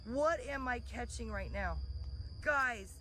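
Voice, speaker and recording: A woman's voice: a little high, slow pace, pushed and bright, soft background music. A woman talking spontaneously as she films as an amateur eyewitness. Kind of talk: spontaneous talk while filming. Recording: noisy amateur outdoor video.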